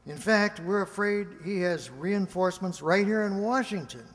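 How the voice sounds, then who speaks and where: A man's voice: high-pitched, normal speed, fairly bright tone. An actor playing a nervous, deferential aide in an amateur radio play, hesitant and apologetic as he reports to his chief. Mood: anxious. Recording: reverberant hall.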